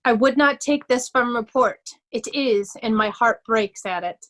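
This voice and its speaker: A woman playing a loyal young nobleman disguised as a humble poor man, earnest and measured, in readers' theatre Shakespeare. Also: fairly high pitch, a bit bright.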